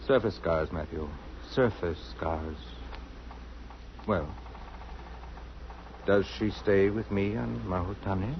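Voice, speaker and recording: An actor playing a gentle, self-effacing island doctor in old-time radio drama style, courteous, soothing and a little hesitant. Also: male voice, deep voice, slow pace, pauses often. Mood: wry, persuasive. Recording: muffled, noisy old radio recording.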